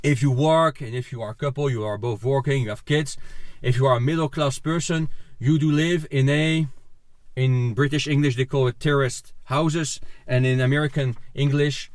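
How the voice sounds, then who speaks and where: A man's voice: medium pitch, steady tone, normal speed. Man explaining with "okay?" tags. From Netherlands. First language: Dutch. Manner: methodical, many "okay?" and "uh" fillers. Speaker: YouTuber explaining a topic. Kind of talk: explanatory vlog monologue.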